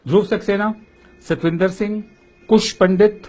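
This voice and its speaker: A man's voice: high-pitched, fairly even intonation, normal speed, darkish tone, slight background noise. A man giving opinion commentary as a political commentator, emphatic and full of rhetorical questions.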